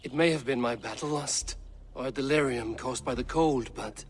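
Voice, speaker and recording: An actor playing a guarded, blunt Viking raider, speaking firmly and tersely in a game cutscene. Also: male voice, mid-range voice, moderate pace, a little hiss or hum, natural voice. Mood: troubled. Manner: firm, terse, plain-spoken. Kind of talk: video game cutscene acting.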